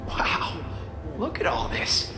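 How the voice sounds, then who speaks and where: A man's voice: a little high, sing-song, slow. An actor playing a boyish, curious young male science nerd in a video game cutscene, talking in a casual, quippy way. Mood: amazed. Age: young adult. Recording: roomy, loud music behind.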